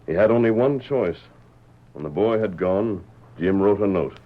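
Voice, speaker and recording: An actor playing a calm, commanding western hero who tells a tale in measured, deliberate radio-drama style. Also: male voice, lowish voice, lightly acted. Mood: grave, steady. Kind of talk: old-time radio drama acting. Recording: dull vintage radio recording.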